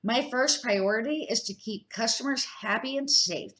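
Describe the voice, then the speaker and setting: A woman's voice: fairly high pitch, normal speed, fairly bright tone, voice pushed up, lightly acted. An older woman voicing an earnest, principled male food truck owner in a storybook, explaining his case with emphasis. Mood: earnest, emphatic.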